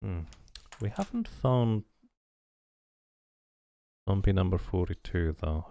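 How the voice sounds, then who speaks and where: A man's voice: deep voice, sing-song, slow pace, gravelly, mellow. A man doing a casual game playthrough, musing to himself and to viewers and reading narration aloud.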